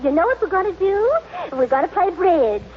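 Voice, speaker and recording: A woman's voice: very high-pitched, sing-song, moderate pace. A woman playing a gushing, scatterbrained yet scheming society hostess in an old radio comedy, chattering effusively. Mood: sweetly scheming. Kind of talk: 1930s-1940s radio comedy acting. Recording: muffled noisy old radio recording.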